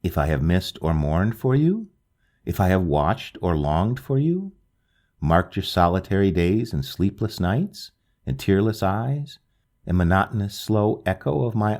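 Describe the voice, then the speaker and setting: A man reading a literary ghost story aloud in a slow, solemn, wistful manner, with a gravelly, husky voice. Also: lowish voice, sing-song, darkish tone. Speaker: narrator reading a short story. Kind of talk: scripted literary narration.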